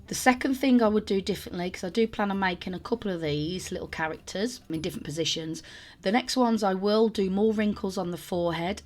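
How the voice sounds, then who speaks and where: A woman hosting a sculpting tutorial voice-over, chatty and self-deprecating, her voice cracking from a sore throat. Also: lowish voice. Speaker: sculpting channel host.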